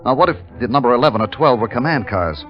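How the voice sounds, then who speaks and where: An actor playing a weary, tense wartime army captain who also narrates, in 1950s radio drama style. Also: male voice, mid-range voice, animated, fast, lightly acted. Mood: tense, grim narration. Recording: muffled vintage 1950s radio recording, music behind.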